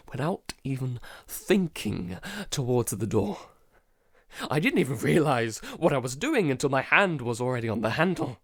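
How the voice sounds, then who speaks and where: A man playing a paranoid, isolated man in an audio drama, halting and stammering, often sighing and dropping into whispers. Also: mid-range voice, dry recording, clean studio sound. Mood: haunted, shaken. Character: paranoid isolated man unravelling under a creeping unseen menace. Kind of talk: audio drama monologue, fragmented confessional.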